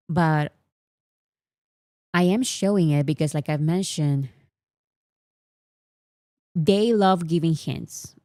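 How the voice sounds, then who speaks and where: A woman's voice: on the low side, warm tone, dry recording, studio quality. A woman whose first language is Spanish, chatting casually to camera as a fan vlogger, mock-serious and laughing often. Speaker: K-pop vlogger.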